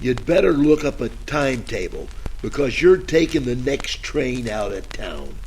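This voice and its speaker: A man's voice: mid-range voice, very animated, unhurried, darkish tone, slight background noise, low-quality recording. A man playing a hard-luck, bumbling tough-guy detective with a wry, hard-boiled delivery in an old-time radio detective style. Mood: tough, puzzled. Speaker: narrator and lead character. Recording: music under the voice.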